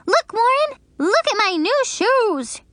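A woman voicing an energetic, show-off little girl who brags excitedly about running fast. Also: on the high side, dry recording. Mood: excited bragging. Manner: bragging, excited.

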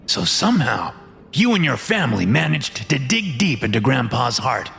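Horror audio villain, played by a male narrator: big controlling boss, gravelly, reasoning coldly, deliberate. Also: mid-range voice, big pitch swings, bright, forceful tone, faint music behind, heavily acted. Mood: coolly explaining. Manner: measured, reasoning, coldly persuasive. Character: fat, sinister male boss, self-justifying and controlling. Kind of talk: audio horror story character.

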